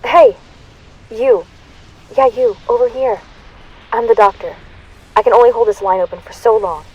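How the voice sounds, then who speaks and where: A woman playing an urgent, protective time-travelling hero in an audio drama, emphatic and pleading, with a husky voice. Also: on the high side, moderate pace, muffled recording.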